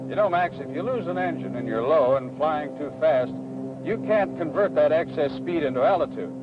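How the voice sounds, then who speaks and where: Man playing a higher-voiced 1960s flight instructor, muffled film sound. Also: expressive, background noise, lightly acted. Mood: explaining. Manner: instructive, measured explanations and crisp commands. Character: experienced male flight instructor, patient and instructive. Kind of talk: 1960s training film dramatic dialogue.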